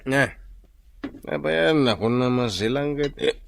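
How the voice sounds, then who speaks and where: A man's voice: medium pitch, a little slow, gravelly, slight background noise. A man playing a silly, cowardly, hard-drinking husband in a comedic radio drama, whiny and exclaiming, with an Ilocano accent. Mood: muddled, reluctant.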